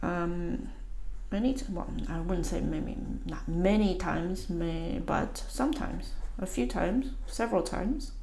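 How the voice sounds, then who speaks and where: A woman from the United States hosting her own channel, talking casually and thinking aloud in a halting, musing way while she doodles. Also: fairly low pitch, vocal fry, mellow, slight background noise, low-quality recording. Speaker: channel host.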